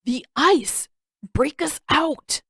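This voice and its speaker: A woman's voice: high-pitched, animated, drawn-out words, gravelly, a bit bright, no echo, studio quality, voice pushed up, heavily acted. A woman voicing an exasperated, sarcastic teenage boy from an audiobook adventure, complaining with indignant emphasis. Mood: impatient sarcasm.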